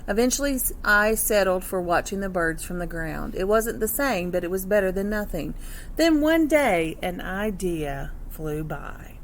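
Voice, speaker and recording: A woman with a mid-pitched voice reads a children's picture book aloud like a teacher. Her delivery is expressive and instructive, speaking directly to young listeners. There is faint noise behind her on a simple home-made recording. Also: unhurried. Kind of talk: children's read-aloud with explanatory asides.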